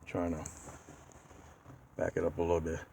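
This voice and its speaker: A man giving a casual how-to walkthrough as a DIY video host, speaking haltingly and pausing mid-sentence to find his words. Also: sits low, completely flat delivery, gravelly, mellow, slight background noise. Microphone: handheld tablet.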